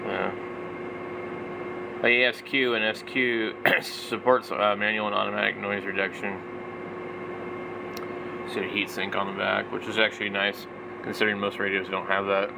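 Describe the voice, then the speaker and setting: A man's voice: on the low side, flat delivery, normal speed, gravelly, long pauses, noisy background. A man in his forties giving casual, off-the-cuff product commentary, rambling and thinking aloud. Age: 40s. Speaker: vlogger, radio hobbyist.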